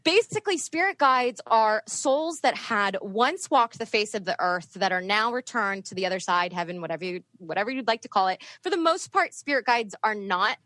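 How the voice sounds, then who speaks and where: A woman from the US East Coast, a medium, talking in a chatty, animated way with frequent laughs. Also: medium pitch, normal speed, hardly any pauses, no echo. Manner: chatty, animated, laughs often, many fillers. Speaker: spirit medium guest. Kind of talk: casual podcast interview.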